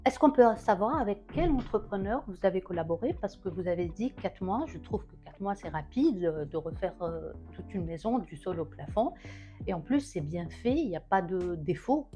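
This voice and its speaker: A woman interviewer with a mellow, warm tone asks short, friendly questions. Soft music plays faintly behind her. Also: lowish voice, expressive, normal speed. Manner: brief, encouraging questions. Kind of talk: casual interview.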